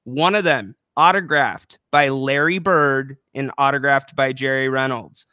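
A man's voice: medium pitch, fairly even intonation, bright, forceful tone, clear, resonant tone, dry recording. A young man co-hosting a casual postgame basketball show, speaking into a podcast microphone in a small room with an upbeat, promotional manner. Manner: upbeat, promotional, brisk play-by-play recap. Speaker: co-host. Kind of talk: casual postgame sports chat. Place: small room with a plant and a banner. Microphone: podcast microphone on a boom arm.

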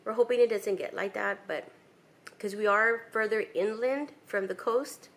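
A middle-aged woman from South Texas chatting casually to a handheld camera in her home kitchen, relaxed and full of ums and likes. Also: mid-range voice, normal speed. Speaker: vlogger. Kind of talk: casual home vlog chat. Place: home living room and kitchen, indoors. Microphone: handheld camera close to face.

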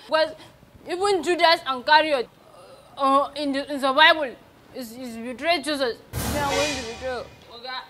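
An actress with a Nigerian accent playing a blabbing, theatrical housemaid in a comedy skit. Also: female voice, high-pitched, normal speed, fairly bright tone, soft background music. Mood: pleading, tattling. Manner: theatrical, repetitive, exclaiming. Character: blabbing, dramatic female housemaid telling on her madam. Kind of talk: broad comedy skit acting.

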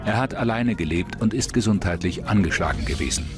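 A man with a very low voice delivers scripted true-crime narration as a documentary narrator. Music plays clearly behind him. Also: lively intonation, a little fast.

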